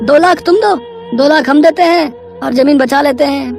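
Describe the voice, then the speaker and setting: A woman's voice: sits high, moderate pace, over music. A woman voicing a greedy, sly elder brother in a moral story, coaxing and falsely kind. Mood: persuasive. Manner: coaxing, hesitant openings.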